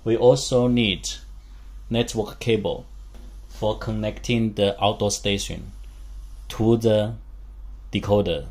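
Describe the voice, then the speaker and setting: A man giving a hands-on instructional demonstration as a product demonstrator, speaking haltingly in short phrases in a small room. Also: lowish voice. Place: small indoor room with a work table. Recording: steady background room noise.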